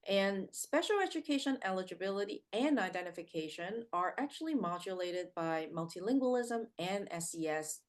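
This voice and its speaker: A woman giving an academic seminar lecture, speaking in a measured, explanatory way with frequent ums and uhs. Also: lowish voice.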